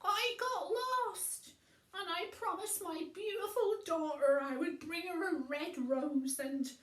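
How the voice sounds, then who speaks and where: Woman putting on a high, stammering frightened old man. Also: takes it slowly, a bit bright, roomy sound, heavily acted. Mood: terrified. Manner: pleading, flustered. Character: terrified, pleading old man, cowardly and eager to please.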